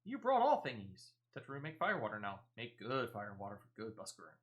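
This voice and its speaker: Eager, simple-minded video game trader creature, played by a man, broken English, animated delivery. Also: medium pitch, fairly quick. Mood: busy, proud. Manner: broken third-person speech, repeating words. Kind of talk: character voice reading video game dialogue.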